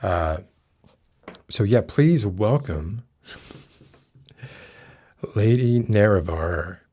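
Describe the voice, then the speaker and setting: A man hosting a casual livestream interview, chatting with halting, hesitant phrasing. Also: fairly low pitch, expressive, warm tone. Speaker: livestream podcast host.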